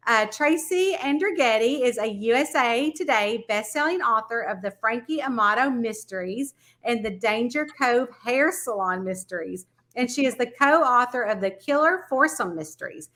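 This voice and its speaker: A bubbly woman with a Southern US accent hosts a casual podcast chat, gushing and laughing easily. Her voice sits a little high and sounds bright. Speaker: podcast host.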